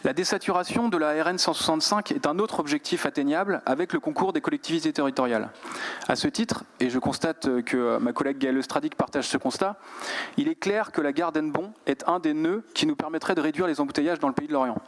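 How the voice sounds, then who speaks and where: Guy from brittany doing a formal council speech, voice a bit on the high side, measured and articulate. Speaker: regional councillor giving a speech. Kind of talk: formal political speech in a council session, partly read.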